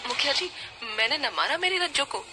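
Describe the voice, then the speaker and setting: Woman playing a stammering, frightened village man, high-pitched. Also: very animated, fairly quick, background noise. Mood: panicked denial. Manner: stammering, pleading, panicked. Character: frightened old village man pleading his innocence.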